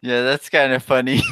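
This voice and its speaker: Slow, halting Québécois man. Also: mid-range voice, a bit bright, no echo. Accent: Québécois French. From Quebec, Canada. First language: French. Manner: halting, searching for English words, laughs often. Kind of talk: casual voice chat.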